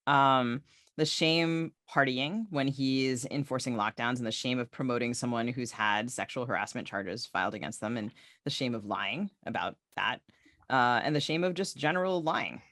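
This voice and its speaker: A man's voice: medium pitch, moderate pace, sharp tone, no echo, studio quality. An American man hosting a podcast, putting questions to a guest in a conversational style. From United States. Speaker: podcast host.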